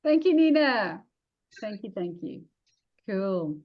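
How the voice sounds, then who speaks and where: Upbeat group coach, high and animated, dull call audio. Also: female voice, slow pace. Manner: upbeat, encouraging. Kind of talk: casual video call.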